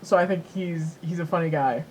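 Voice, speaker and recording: A woman's voice: fairly low pitch, brisk, crowd noise, poor audio. A woman co-hosting a podcast in casual chat, rambling and repeating herself as she tells things. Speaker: podcast co-host.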